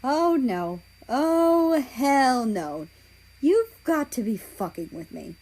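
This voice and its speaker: A woman's voice: high voice, big pitch swings, takes it slowly, a little mellow, pitched above the performer's own voice, exaggerated voice, poor audio. A woman voicing a grumpy, sardonic male cat in a story, full of exasperated complaints.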